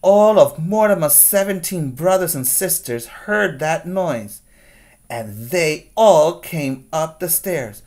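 A man in his forties reads a picture book to small children at a slow pace. His delivery is deliberate and expressive, like a teacher making sure the class follows along. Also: a little high. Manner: deliberate, expressive, checks in with listeners. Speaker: preschool teacher. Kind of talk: read-aloud storytelling for preschoolers. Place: small plain room indoors. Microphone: webcam at arm's length.

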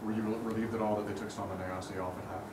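Fast-talking reporter, echoey room. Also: male voice, on the low side, level tone. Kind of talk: asking questions at a press conference. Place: press room. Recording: echoey room with background noise.

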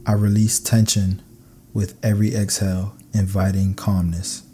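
A man narrating scripted sleep meditation affirmations in a calm, soothing, measured way. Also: on the low side, a little hiss or hum.

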